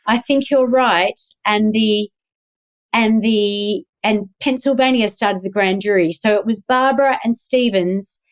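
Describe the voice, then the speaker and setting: A woman's voice: medium pitch, unhurried. A woman with a Melbourne accent, speaking as a guest in a casual interview, rambling with many ums and restarts. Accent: Australian (Melbourne). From Australia. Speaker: guest, activist.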